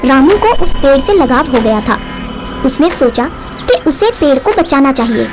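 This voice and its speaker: A woman narrates a children's story from a script. Her voice is high in pitch, and music plays clearly behind her. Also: big pitch swings, normal speed.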